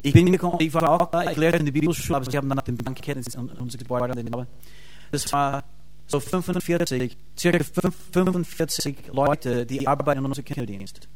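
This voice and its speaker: A man with an American accent, a native English speaker, preaching a sermon in a learned language, halting and restarting as he searches for words, with fervent bursts of praise. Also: medium pitch, a little hiss or hum. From United States. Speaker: pastor and Bible school teacher.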